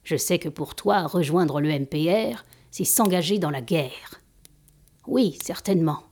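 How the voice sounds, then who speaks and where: Audio drama mentor, played by a woman: female master, slightly low voice, assured, blunt, instructive. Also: expressive, a little slow, darkish tone. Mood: calm, instructive.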